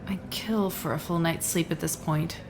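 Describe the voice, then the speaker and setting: A woman's voice: low-pitched, steady tone, normal speed, noisy background. A woman playing a conflicted, tired neuroscientist mother in a naturalistic audio drama, speaking in a hesitant, conversational way. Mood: weary, uncertain. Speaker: voice actor in a musical audio drama.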